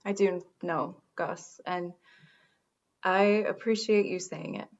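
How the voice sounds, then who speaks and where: A woman's voice: on the low side, slow pace, highs cut off. A woman playing a wry, regretful radio show host in a conversational comedy radio drama. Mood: wry, softened.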